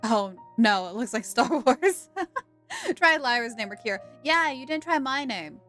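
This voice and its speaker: Casting: female game streamer, higher voice, casual playful commentary, giggly, game music behind. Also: big pitch swings, a little slow, fairly bright tone. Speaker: game livestreamer. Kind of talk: casual reactive livestream commentary.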